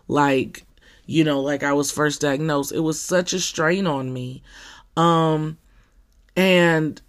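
A podcaster with a scratchy, somewhat deep voice, talking in a casual personal monologue full of fillers and restarts. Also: a bit bright. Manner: halting, with many fillers and restarts.

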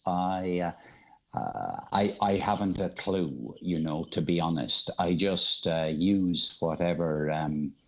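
Deep-voiced, reflective middle-aged man. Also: rough recording. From Ireland. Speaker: podcast guest. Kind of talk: casual podcast conversation. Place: remote conversation over a podcast app on a mobile. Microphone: mobile phone.

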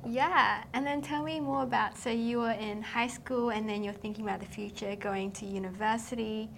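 A woman hosting a podcast, asking questions casually and encouraging her guest with quick affirmations. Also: on the high side, fairly even intonation, vocal fry, fairly bright tone, slight background noise. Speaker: podcast host. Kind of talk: casual interview chat.

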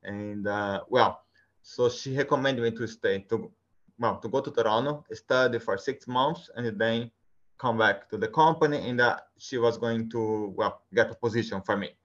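A man from Brazil with a Brazilian Portuguese accent, answering interview questions in a halting way with many fillers. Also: medium pitch, a bit bright. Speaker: guest. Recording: dull lo-fi sound.

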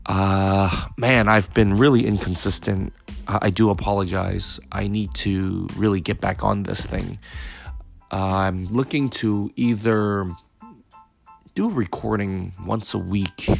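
A man in his thirties talks through a loose, rambling podcast update, his very low voice gravelly and raspy after a cold. Fillers and false starts fill his speech, and faint music plays behind him. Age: 30s. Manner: rambling, many fillers and restarts. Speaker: podcast host. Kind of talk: casual solo podcast update.

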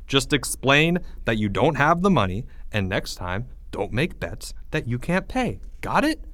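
Man playing exasperated teacher, firm, lively intonation, dry sound. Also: mid-range voice, unhurried, no echo. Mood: stern. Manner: firm, reasoning, mildly weary. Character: patient, exasperated male schoolteacher.

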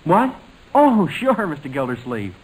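An eager, bumbling young man in a vintage radio comedy. His high voice jumps around in pitch, flustered and deferential. The old recording is clearly noisy. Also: sing-song, measured pace. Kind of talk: 1940s radio comedy acting.

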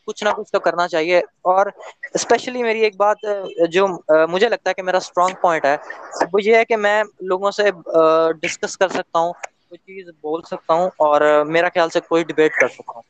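A man's voice: high voice, fairly even intonation, moderate pace, clean tone, poor audio. A man from Lahore with a Pakistani accent, chatting casually and hesitantly as he searches for words. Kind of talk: casual chat with a new acquaintance.

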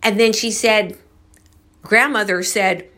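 A woman's voice: medium pitch, steady tone, slow pace, bright, forceful tone. A woman host telling strange stories to her viewers in a casual, musing, conversational way, taking her time. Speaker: host of a paranormal storytelling channel.

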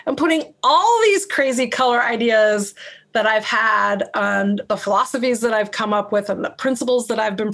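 A woman artist and color teacher being interviewed, talking loudly and passionately and laughing often. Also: medium pitch. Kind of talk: podcast interview chat.

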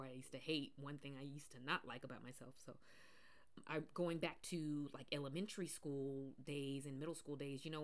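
Woman podcast host, naturally deep low voice, rambling casual monologue with fillers. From Pacific Northwest, US. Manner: rambling, many fillers and restarts.

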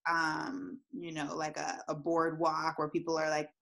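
A woman's voice: low voice, monotone, measured pace, gravelly, a bit bright. A young adult woman teacher from Florida, chatting casually in an interview, laughing often and filling with "like". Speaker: teacher, guest.

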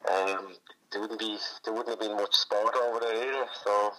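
An Irish man answers interview questions casually. His voice sits on the low side, with a very bright, tense edge. He circles back over phrases and peppers his talk with little check-ins. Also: fairly even intonation, moderate pace, rough recording. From born in Iraq, moved to Ireland as a child. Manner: repetitive, conversational, peppered with "you know". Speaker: interview guest, hurler.

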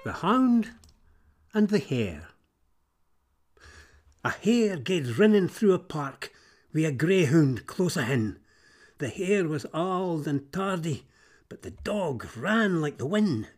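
A Scottish man narrating fables in Scots verse, theatrical and expressive, moving between hushed drops and emphatic swells. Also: a little high, takes it slowly, long pauses.

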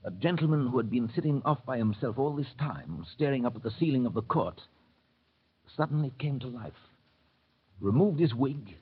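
Male narrator, husky and grave, middle-pitched, measured, tinny vintage radio drama. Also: lively intonation. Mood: intrigued.

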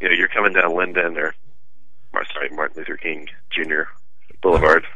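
Deep-voiced, bright sportswriter guest man. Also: level tone, brisk, dry recording, muffled recording. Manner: thoughtful, hesitant with fillers. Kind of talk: casual sports radio chat.

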